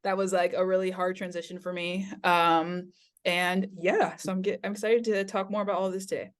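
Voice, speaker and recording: A woman's voice: fairly low pitch, little pitch movement, a little fast. A woman in her twenties from Taiwan, a podcast guest talking in a chatty, candid way. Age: 20s. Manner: chatty, candid, laughing easily. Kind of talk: casual interview.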